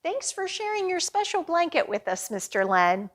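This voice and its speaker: A woman hosting a children's storytime, speaking clearly to young children with a sing-song delivery. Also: very high voice, sing-song, normal speed. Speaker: children's library storytime host. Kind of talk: storytime hosting with a chanted action rhyme.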